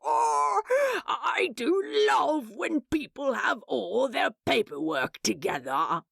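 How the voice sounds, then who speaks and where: A woman voicing a fussy, cheerful demon bureaucrat in a fan fiction audiobook, officious, earnest and expressive. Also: high voice, slow, creaky, husky, no room sound, clean studio sound, pitched above the performer's own voice, exaggerated voice. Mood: delighted.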